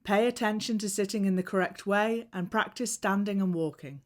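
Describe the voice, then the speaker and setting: A woman reading scripted instructional narration for a training film in a measured, clearly phrased way. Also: medium pitch.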